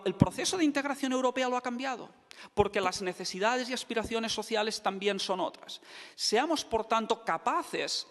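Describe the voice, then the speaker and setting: A male professor giving a formal academic talk on a panel, measured and emphatic. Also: fairly high pitch, fairly bright tone. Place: large conference hall. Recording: echoey hall.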